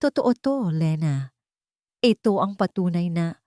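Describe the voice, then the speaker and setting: Casting: female narrator as remorseful male lover in a romance audio story, earnest and begging, low register, dry recording. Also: animated, a little slow, warm tone, dry recording. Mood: earnest pleading. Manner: pleading, earnest, weighty. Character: desperate, guilt-ridden man pleading with his beloved.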